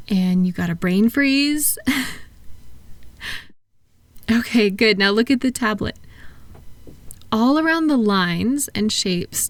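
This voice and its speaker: A woman playing a kind, unhurried attendant at a calming clinic, soft-spoken and reassuring in a relaxation roleplay. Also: fairly high pitch, no room sound. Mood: soothing, encouraging.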